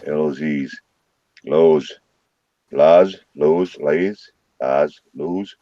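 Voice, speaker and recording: A man with a St. Louis accent hosting a late-night live stream from his room, joking loudly and swearing freely. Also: a bass voice, drawn-out words, no room sound, lo-fi sound. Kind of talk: casual live stream chat.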